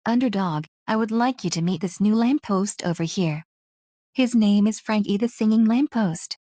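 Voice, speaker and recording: A woman plays the hero's sympathetic friend in a homemade cartoon. Her voice is mellow and medium in pitch, with a plain-spoken delivery and a dry sound. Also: moderate pace, no room sound, lightly acted. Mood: introducing.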